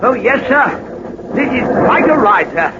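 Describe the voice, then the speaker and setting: An actor playing a deferential, timid manservant sidekick in a 1940s radio drama, fussy, polite and hesitant. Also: male voice, very high-pitched, very animated, slow, exaggerated voice. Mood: nervous, deferential. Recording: very noisy, dull 1940s radio recording.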